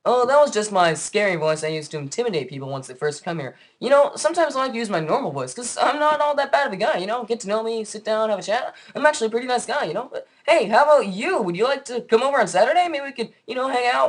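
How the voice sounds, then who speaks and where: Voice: mid-range voice, lively intonation, fast, hardly any pauses, natural voice. An actor voicing a friendly, lonely cartoon keeper of the underworld in a casual, chatty everyday voice.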